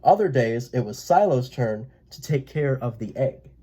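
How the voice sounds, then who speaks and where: A person reading a children's picture book aloud in a gentle, expressive way. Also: mid-range voice, moderate pace, slightly rough. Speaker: read-aloud storyteller.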